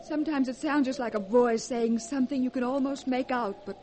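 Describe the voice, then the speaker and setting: A woman voices a young wife who sounds anxious and deeply devoted, delivering her lines breathlessly in a fairly high voice. She performs in the theatrical manner of 1940s radio, with a faint hiss behind her. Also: fairly quick, warm tone, lightly acted. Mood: pleading. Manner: breathless, emotional. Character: young anxious loving wife in a haunted house.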